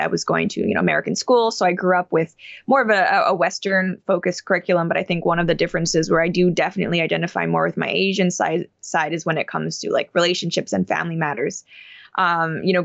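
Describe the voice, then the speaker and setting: A woman's voice: on the low side, a bit bright, no echo, muffled recording. A young woman as an interview guest, talking in a thoughtful, casual way with many fillers and restarts.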